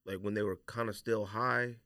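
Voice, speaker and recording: A man's voice: fairly low pitch, fairly even intonation, moderate pace, sharp tone, smooth, no echo. A man hosting a podcast with casual, teasing banter about sneakers, speaking into a studio microphone. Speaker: podcast host.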